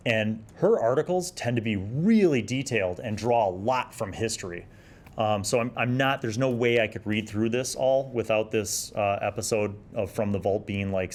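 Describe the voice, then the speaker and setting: A man's voice: on the low side, darkish tone. A man hosting a retro gaming review, talking casually and thinking aloud as he goes. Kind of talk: casual rambling review commentary.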